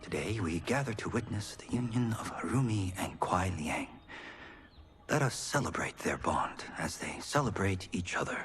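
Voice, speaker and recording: A man's voice: low-pitched, expressive, moderate pace. An actor playing an earnest young male thunder god who speaks formally. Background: cinematic music.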